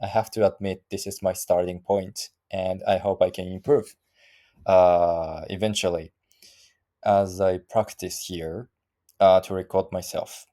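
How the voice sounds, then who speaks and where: Slow, halting man with Japanese accent. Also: low voice, fairly even intonation, warm tone. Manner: halting, searching for words, frequent restarts. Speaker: vlogger practicing English. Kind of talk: casual solo monologue.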